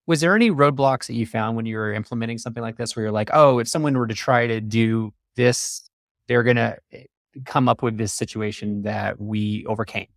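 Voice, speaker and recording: A man's voice: medium pitch, a little fast, gravelly, dry recording, clean studio sound. A man hosting a casual interview in an informal, affirming conversational manner. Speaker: show host interviewing guests.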